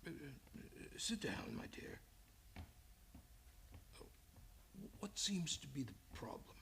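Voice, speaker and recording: An actor playing a nervous, genteel, condescending senior psychiatrist who stammers and hesitates in a creaky voice, in old-time radio style. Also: male voice, medium pitch, very animated, unhurried, fairly bright tone, in a whisper, heavily acted. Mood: uneasy, patronizing. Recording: studio radio play with music behind.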